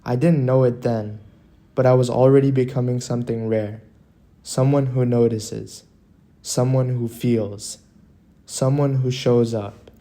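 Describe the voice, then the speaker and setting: Man, motivational narration, scripted, earnest, deliberate, dramatic pauses, slow tempo, warm relaxed tone. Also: medium pitch.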